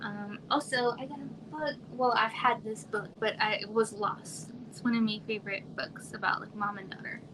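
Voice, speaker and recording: A woman from Arizona in her thirties, chatting casually on a home livestream in a quiet, soft voice that trails off and sometimes drops to a whisper. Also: medium pitch, steady tone, normal speed, few pauses. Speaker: livestream host. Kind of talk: casual live chat with viewers. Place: home room. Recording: noisy home livestream audio.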